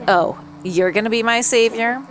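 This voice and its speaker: A woman's voice: a little high, normal speed, natural voice. Woman doing a bitter, frightened mutant boy, sarcastic. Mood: bitter, accusing. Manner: accusing, sarcastic.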